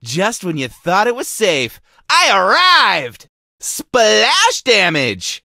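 Goofy game mascot, laid-back surfer dude, slangy drawl, swooping pitch, unhurried. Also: male voice, wildly swooping pitch, pushed and bright, no echo, studio quality, full character voice. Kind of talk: cartoony video game voice lines.

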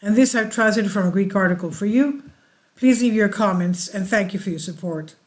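A woman hosting her own channel, reading a translated news article aloud slowly and deliberately, with asides to her viewers. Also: medium pitch.

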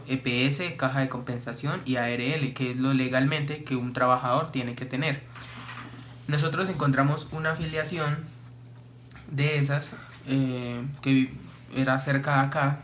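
A young man, calmly explaining a story to camera in a small home room, with many fillers. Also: mid-range voice, moderate pace, slight background noise. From Colombia. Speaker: vlogger.

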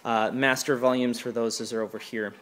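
Man giving halting walkthrough, noisy recording. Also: medium pitch, fairly even intonation. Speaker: presenter demonstrating church sound equipment. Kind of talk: informal hands-on walkthrough. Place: carpeted indoor room. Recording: clear background noise, handheld camera mic.

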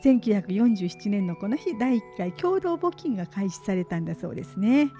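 A woman's voice: medium pitch, expressive, normal speed. A middle-aged woman hosting live community radio, announcing and interviewing in polite, conversational phrasing. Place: small community radio studio. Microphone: studio boom microphone with headset headphones. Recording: soft background music behind studio voice. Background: faint music.

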